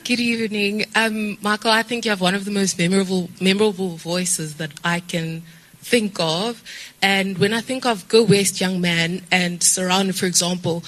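Woman, panel interviewer, middle pitch, polite, measured, slight hesitations. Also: fairly even intonation, a bit bright, rough recording. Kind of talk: interview question.

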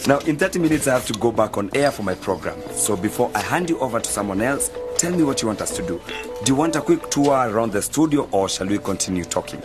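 A man's voice: mid-range voice, animated, quick pace, over music, lightly acted. A man acting a confident, businesslike student journalist giving advice in a radio drama. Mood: advising. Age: young adult.

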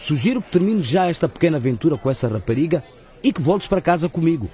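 A mellow, dark-voiced man of middle age as a controlling father. He speaks sternly and formally in a radio drama. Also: fairly high pitch, lively intonation, fast talker, breathy edge, soft background music.

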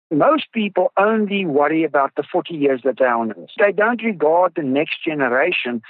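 High-voiced South African Afrikaans man, dull recording. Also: husky, no room sound. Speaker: groundwater researcher, interview guest. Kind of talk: explanatory interview talk. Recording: dull lo-fi audio with music behind. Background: music.

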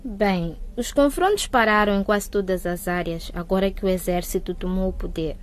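A woman's voice: mid-range voice, moderate pace, lightly acted. A woman playing a polite, composed sixteen-year-old schoolgirl newly arrived from abroad in a radio drama.

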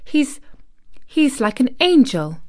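A woman voicing a fierce, obstinate young girl in a storybook, with a sharp, sour manner. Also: a little high, expressive, measured pace, no echo, clean studio sound. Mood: fierce, indignant.

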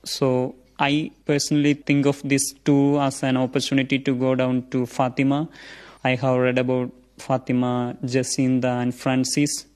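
A man's voice: mid-range voice, completely flat delivery, moderate pace, a little mellow. A young man from India with an Indian accent, a seminarian answering interview questions in earnest, formal phrasing.

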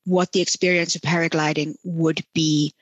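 A woman hosting a conversational interview, asking thoughtful, measured questions. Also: deep voice, little pitch movement, dry recording, highs cut off. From South Africa. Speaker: podcast host, interviewer.

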